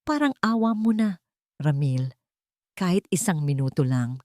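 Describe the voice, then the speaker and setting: A woman's voice: low voice, animated, measured pace, a little mellow, dry recording. A woman narrator plays a desperate young heroine of an audio romance. She is humble and guilt-ridden, pleading in a tearful way. The recording is close and dry.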